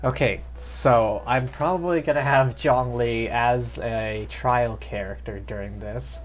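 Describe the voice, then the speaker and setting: A man's voice: mid-range voice, a little slow. Casual male game streamer over music. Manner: thinking aloud. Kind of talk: casual live gameplay commentary.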